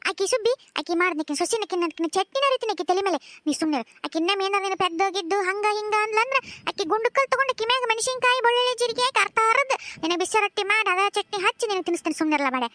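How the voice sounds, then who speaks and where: A woman's voice: very high voice, rapid-fire, hardly any pauses, pushed and bright, no room sound. A woman playing a doting widowed mother who pleads melodramatically. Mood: scolding. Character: doting widowed mother, fierce in defending her son.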